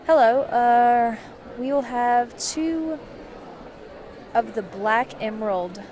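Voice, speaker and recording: A woman playing a businesslike, curious intelligence handler in an amateur audio drama, measured and conversational. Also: a little high, darkish tone. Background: faint crowd chatter.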